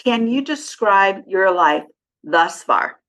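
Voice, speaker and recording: Brief: woman podcast host, unhurried, lively pitch, encouraging interviewer.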